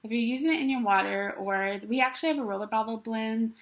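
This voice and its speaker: A woman's voice: fairly high pitch, fast. A middle-aged woman vlogging to camera from a small dim room, chatty and bubbly, often checking in with "okay?". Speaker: essential oils vlogger. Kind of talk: casual talk to camera.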